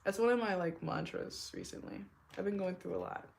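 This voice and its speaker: A woman's voice: a little fast, vocal fry, heavy background noise. Rambling young woman with a smoky voice. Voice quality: deep smoker's voice. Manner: rambling, heavy use of "like", laughs often. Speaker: tarot reader. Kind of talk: casual tarot reading vlog.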